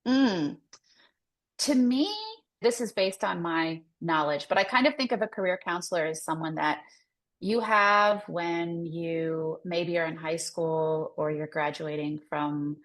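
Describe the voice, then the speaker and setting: A woman's voice: on the low side, unhurried, a bit bright. An American woman explaining her work as a career coach in a conversational, loosely phrased interview style. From United States. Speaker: career coach, podcast guest.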